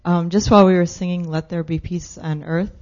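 An older woman with a low, gravelly, flat voice shares a memory with the congregation. She speaks softly and wistfully in a mellow tone. The recording sounds dull and lo-fi. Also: a little fast. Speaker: congregation member. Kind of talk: casual sharing.

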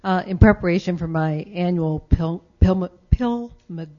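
A woman's voice: lowish voice, moderate pace, darkish tone. A woman sharing a personal story with a congregation in a chatty way, sometimes stumbling over words. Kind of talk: casual sharing in a meeting. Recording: dull lo-fi room recording.

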